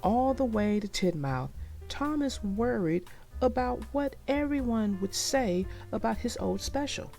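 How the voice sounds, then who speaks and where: A woman narrating a children's story aloud, expressively and deliberately, as if to young listeners. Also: a little high, lively intonation, gravelly, dark tone, soft background music.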